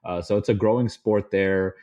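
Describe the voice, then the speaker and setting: A young man from the Chicago suburbs, chatting as a podcast guest in a relaxed, rambling way with lots of fillers. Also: on the low side, moderate pace. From suburbs of Chicago, USA. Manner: relaxed, rambling, many fillers, chuckles often. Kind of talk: casual interview conversation. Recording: slightly dull lo-fi audio.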